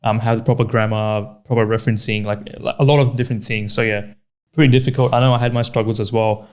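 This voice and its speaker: A man's voice: on the low side, steady tone, quick pace. A man in his twenties from Pakistan, whose first language is Urdu, hosting a casual podcast in an easygoing, encouraging way with frequent "yeah"s. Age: 20s. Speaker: podcast host.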